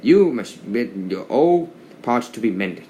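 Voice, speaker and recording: A man voicing a pleased, authoritative railway controller in a children's storybook, speaking haltingly. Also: mid-range voice, big pitch swings, dark tone, a little hiss or hum. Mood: approving. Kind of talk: children's storybook read-along.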